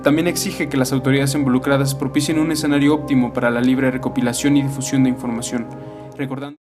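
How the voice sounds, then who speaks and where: A man's voice: medium pitch, flat delivery, quick pace. A man reading a formal scripted statement as an announcer. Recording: music bed under the voice. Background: instrumental music.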